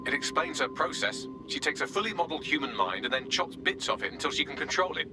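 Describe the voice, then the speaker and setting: A man's voice: on the high side, lively intonation, fast talker, music in the background, lightly acted. An actor playing an analytical male ally who explains things in an understated way in a game. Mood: calmly troubled.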